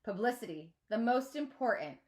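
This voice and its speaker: A woman's voice: a little high, animated, normal speed, lightly acted. Storybook schoolgirl captain played by a woman: haughty, smug, impatient, emphatic.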